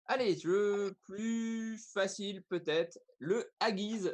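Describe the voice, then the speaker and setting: A man's voice: sits high, slow. A man hosting a live online talk show over a video call, running a playful food quiz with a teasing manner.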